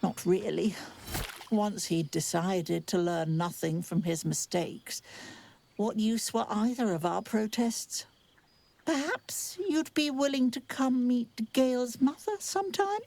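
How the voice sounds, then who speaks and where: An actress playing a wizard's tart, disapproving companion in a fantasy game, dry, sardonic and refined. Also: female voice, mid-range voice, expressive, slow, creaky, a little mellow, no echo, exaggerated voice. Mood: bitter. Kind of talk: dramatic game voice acting.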